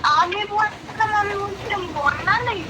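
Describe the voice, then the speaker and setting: A woman's voice: extremely high pitch, unhurried. A young woman in a casual phone chat, questioning and suspicious of the person she is talking to. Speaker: friend receiving a prank call. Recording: very noisy phone call.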